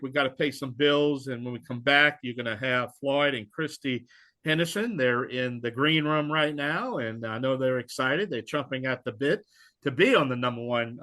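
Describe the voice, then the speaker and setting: A man's voice: medium pitch, moderate pace, bright, forceful tone. A man co-hosting a radio talk show, plainspoken and folksy.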